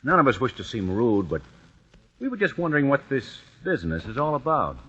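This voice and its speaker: Dull old radio sound. a sensible, courteous man, matter-of-fact, with a husky voice that has a forceful edge. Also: medium pitch, sing-song, a little fast. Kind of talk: 1940s radio drama acting.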